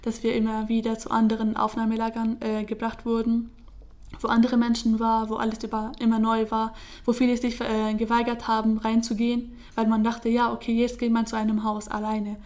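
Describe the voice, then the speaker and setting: Young woman, flat hesitant telling. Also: on the high side, brisk. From Syria. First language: Kurdish. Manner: hesitant, many fillers and restarts. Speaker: interviewee. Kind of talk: casual personal account.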